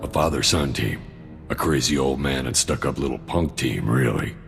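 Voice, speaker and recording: Very deep, gravelly, wry mercenary soldier. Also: male voice, moderate pace, soft background music. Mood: wry, grumbling. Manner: wry, sardonic, laid-back. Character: cynical, greedy mercenary soldier, alien arms dealer with dry humour. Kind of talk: video game cutscene dialogue.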